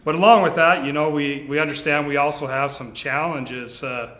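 Middle-aged man, bright forceful voice, earnest reassuring tone, roomy office echo. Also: a little high, fairly even intonation, normal speed. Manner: earnest and reassuring, restarts often. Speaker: company vice president. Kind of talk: video address to sales leaders. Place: large bright office with tall windows, indoors. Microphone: standing before a fixed camera, two-shot. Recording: echoey room.

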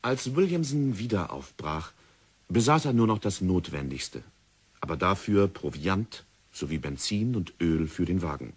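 A man narrating a radio drama in a measured, sober manner. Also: deep voice, sing-song, slightly rough.

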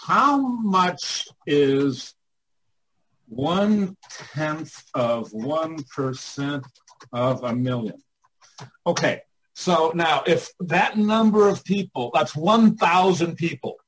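A man's voice: a little high, lively intonation, slow, fairly bright tone, a little hiss or hum, low-quality recording. An older man giving informal one-on-one coaching in a blunt, emphatic way, thinking aloud as he goes. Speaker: artists' coach and mentor.

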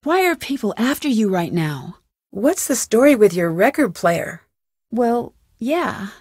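A woman voicing a young amateur detective in a video game, inquisitive and determined, with a clear, earnest, questioning delivery. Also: a little high, sing-song, measured pace, darkish tone, no echo, studio quality, full character voice. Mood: probing, insistent. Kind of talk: scripted game dialogue, isolated lines.

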